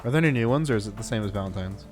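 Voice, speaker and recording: A man's voice: lowish voice, expressive, racing, soft background music. A man streaming a game live, slightly congested from a cold, greeting chat in a laid-back way. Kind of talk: live game stream, reading dialogue aloud.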